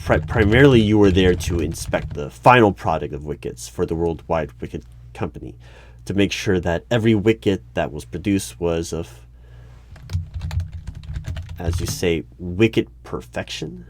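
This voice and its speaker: Low male voice, awkward officious hiring manager acted by a man, halting, formal, over-polite, audio roleplay, keyboard typing. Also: lively intonation, slight background noise. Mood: formal, inquisitive. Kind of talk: ASMR audio roleplay interview. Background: computer keyboard typing.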